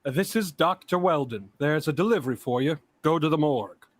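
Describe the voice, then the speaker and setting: A man's voice: a little high, expressive, fairly quick, no echo. A man playing a matter-of-fact hospital doctor in a vintage-style radio drama, offhand and businesslike.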